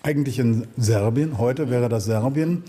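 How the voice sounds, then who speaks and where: Mellow-voiced male interviewer. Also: medium pitch, unhurried. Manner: thoughtful, probing questions. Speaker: interviewer, former correspondent. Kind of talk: conversational interview.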